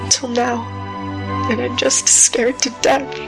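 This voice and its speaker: A woman playing a frightened but defiant starship captain in an audio drama, speaking in a halting, emotional monologue. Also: on the high side, flat delivery, vocal fry. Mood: wistful, afraid. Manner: halting, emotional, addressing an unseen foe. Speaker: voice actor in an audio drama podcast. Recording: music behind the voice.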